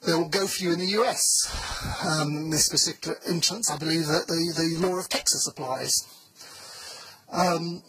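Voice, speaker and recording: A man's voice: on the high side, gravelly, sharp tone, husky, no echo, low-quality recording. A man giving a blunt, informal conference talk with wry asides. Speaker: conference speaker.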